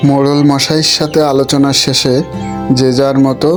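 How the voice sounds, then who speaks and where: A man narrating a cartoon story in a measured, storybook manner. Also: medium pitch, little pitch movement, music in the background.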